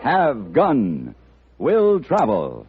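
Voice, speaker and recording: A man announcing a 1950s radio drama in a dramatic, measured manner. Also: medium pitch, wildly swooping pitch. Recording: dull vintage radio broadcast over loud music.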